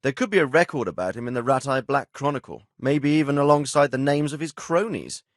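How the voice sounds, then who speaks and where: An actor playing a middle-aged medieval nobleman commander in a video game, grave, fatherly and authoritative, speaking in a measured, formal way. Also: medium pitch, dry recording, clean studio sound, natural voice. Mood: grave, businesslike. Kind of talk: dramatic video game dialogue acting.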